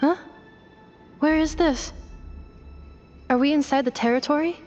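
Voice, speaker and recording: High-voiced, slow robot girl. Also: darkish tone. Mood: confused. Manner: clipped, stilted, matter-of-fact. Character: female robot, puzzled, literal-minded amnesiac automaton. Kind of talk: video game voice acting. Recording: muffled game audio with music.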